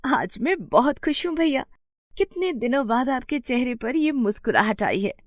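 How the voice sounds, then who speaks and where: Woman voicing an encouraging young village sister, lively intonation. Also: high-pitched, normal speed, dry recording, studio quality, pitched above the performer's own voice. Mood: happy. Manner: reassuring, earnest. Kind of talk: animated moral story character dialogue.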